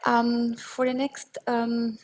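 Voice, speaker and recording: A woman with an Austrian German accent giving a slide presentation in a webinar, hesitant and careful, with many pauses and fillers. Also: on the high side, monotone, darkish tone.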